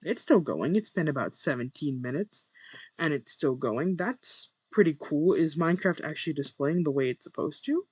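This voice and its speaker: A young man with a monotone voice, chatting casually while playing a game and muttering to himself over his first recording. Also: a little high, normal speed, breathy edge, no echo. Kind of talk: casual gameplay commentary.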